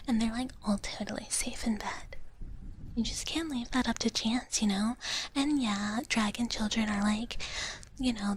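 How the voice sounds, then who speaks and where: Woman as a soft whispering dragon big sister, noisy mic. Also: mid-range voice, close to an everyday voice. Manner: hushed, refers to herself in third person, hesitant rambling. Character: cutesy female dragon big sister, gentle, naive, protective. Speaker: live ASMR streamer. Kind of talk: whispered ASMR, rambling chat with viewers. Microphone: close ASMR microphone.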